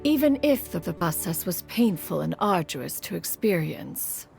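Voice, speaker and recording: A woman's voice: lowish voice, sing-song, measured pace, vocal fry, a little mellow, soft background music, heavily acted. A woman playing a dignified, ancient crane immortal and mentor in a game, speaking formally and archaically with proud, tender care. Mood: solemn, tender. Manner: formal, archaic, calls herself "one". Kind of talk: scripted game character dialogue, storytelling.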